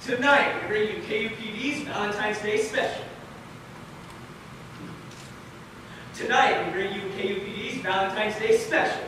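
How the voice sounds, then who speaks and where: A fussy, flattering radio show host acted by a young man speaks high and theatrically. It is an amateur stage play, picked up from far off with loud noise. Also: fairly quick, very echoey, close to an everyday voice. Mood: grand. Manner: theatrical, projected, exclamatory. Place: large indoor theater stage, far camera.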